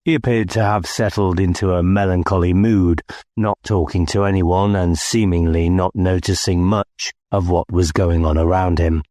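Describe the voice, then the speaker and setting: A man's voice: sits low, fairly even intonation, moderate pace, mellow, no room sound, clean studio sound. A man reading scripted first-person story narration as an audiobook narrator.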